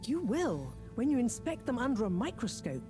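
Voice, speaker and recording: An actress playing an eager, self-assured scientist in a video game, explaining things in a precise, animated way. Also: female voice, on the high side, moderate pace. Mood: excited, eager. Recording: music behind the voice.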